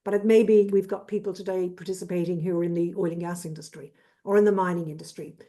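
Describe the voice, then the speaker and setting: Brisk Irish businesswoman, low mellow voice. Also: fairly even intonation. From Ireland. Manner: brisk and businesslike, lists with "et cetera". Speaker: trade consultant presenting. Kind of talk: webinar presentation. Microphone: video call.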